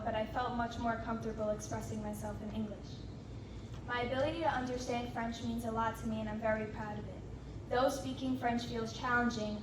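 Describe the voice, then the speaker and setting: A fifteen-year-old girl, a student, reading a prepared presentation in a steady, measured way in a school hall. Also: on the high side. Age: teens. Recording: echoey room from far off, noisy.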